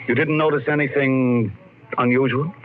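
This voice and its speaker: A man's voice: mid-range voice, fairly even intonation, moderate pace, narrow, tinny audio, a little hiss or hum, close to an everyday voice. An American man playing an uneasy, stern father in 1950s radio drama, brooding and hesitant. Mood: troubled. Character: uneasy, stern father of two in a futuristic house. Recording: thin band-limited old radio recording, faint music.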